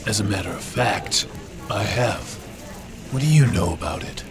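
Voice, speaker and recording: Casting: male actor as cynical twenties police detective, deep wisecracking voice, old-time radio crime drama, busy bar crowd behind. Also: lively intonation, normal speed. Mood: dismissive, guarded. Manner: slangy, sardonic.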